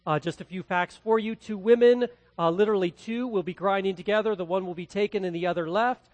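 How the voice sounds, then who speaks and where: A man from the Midwest with a Midwestern accent, preaching a verse-by-verse sermon as pastor, swinging between loud and quietly hushed delivery. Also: high-pitched, a little fast, no room sound. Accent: Midwestern American. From American Midwest. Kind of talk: expository sermon.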